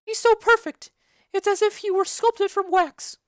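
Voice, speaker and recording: A woman voicing a chattering, bawdy old female nurse in a dramatic reading of a play, rambling and loud. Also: very high voice, steady tone, measured pace, dry recording, studio quality, pitched above the performer's own voice. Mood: gossipy. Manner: loud, rambling, giggly storytelling.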